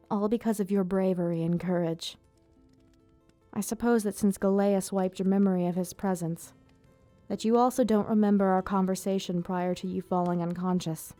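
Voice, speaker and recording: A woman playing an elegant vampire ruler, proud yet tender, speaking in formal, measured phrases in an ASMR roleplay. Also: mid-range voice, mellow, no room sound, close to an everyday voice. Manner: formal, measured, theatrical diction. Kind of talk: ASMR roleplay, scripted monologue to listener.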